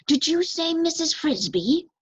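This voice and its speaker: A woman voicing a grave, formal male owl in a storybook, speaking in measured pronouncements. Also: very high voice, big pitch swings, dry recording, pitched above the performer's own voice, full character voice. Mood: intent, deferential. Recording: dull, lo-fi close recording.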